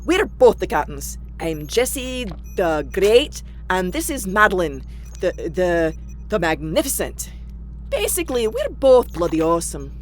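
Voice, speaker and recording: Brash, lively Scottish woman acted aboard a spaceship. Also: medium pitch, unhurried, soft background music. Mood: brash bluffing. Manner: blunt, sardonic. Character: brash, sweary, bluffing spaceship crewwoman.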